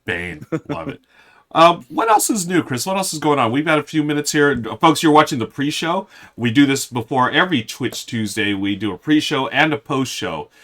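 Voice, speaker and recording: A man's voice: a little high, lively intonation, moderate pace, fairly bright tone. A man co-hosting a board game live stream, rambling casually and talking to viewers as folks, his voice a bit unsteady with a cough drop in. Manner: rambling, restarts often, addresses viewers as folks. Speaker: co-host of a board game show.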